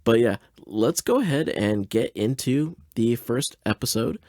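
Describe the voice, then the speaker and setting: A man's voice: medium pitch, animated, unhurried, darkish tone, no echo. A man hosting a podcast in casual, slangy live reaction chat, close on a desktop USB microphone. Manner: informal, slangy. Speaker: podcast host.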